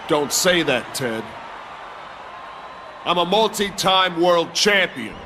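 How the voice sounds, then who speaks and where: A man playing an arrogant, menacing wrestling champion in a video game, cocky, boastful and deliberate. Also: high voice, lively intonation, background noise.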